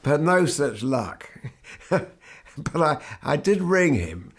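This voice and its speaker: An older man, a guitarist, telling anecdotes from his life in a relaxed, wry, conversational way. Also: on the high side, slow, slightly rough. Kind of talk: reflective anecdotal storytelling.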